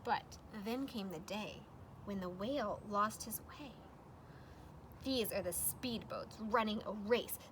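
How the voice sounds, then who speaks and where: High, expressive woman reading a storybook. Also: a little hiss or hum. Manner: expressive, dramatic emphasis on rhymes. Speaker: storybook reader. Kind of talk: children's read-aloud narration with casual asides.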